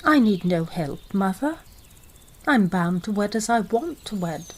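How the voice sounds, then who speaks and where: A woman's voice: medium pitch, expressive, unhurried, slight background noise, natural voice. Woman playing a headstrong young bride. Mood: determined. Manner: earnest, determined. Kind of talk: old-time radio drama acting.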